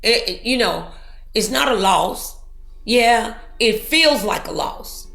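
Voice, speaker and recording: A woman's voice: fairly high pitch, lively intonation, slow, creaky, fairly bright tone, reverberant, faint music behind. A woman hosting a talk show, speaking in an encouraging, preachy way full of motivational sayings.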